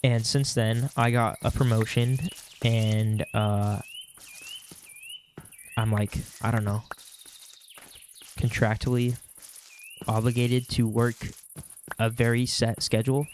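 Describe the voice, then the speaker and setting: A man's voice: mid-range voice, little pitch movement, takes it slowly, pauses often, a little hiss or hum. A young man with a stuffy nose, chatting casually and rambling while he plays a game. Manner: rambling, with many fillers. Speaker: Minecraft vlogger. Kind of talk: casual chat while gaming.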